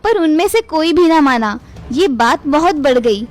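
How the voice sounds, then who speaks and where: A woman's voice: high-pitched, expressive, measured pace, a little mellow. A woman reading a scripted moral tale for children as the narrator.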